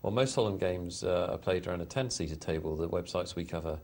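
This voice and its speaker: A man's voice: low-pitched, quick pace, darkish tone. A man hosting a live poker show, commenting on hands in a chatty, hesitant way with lots of fillers. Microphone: studio mic.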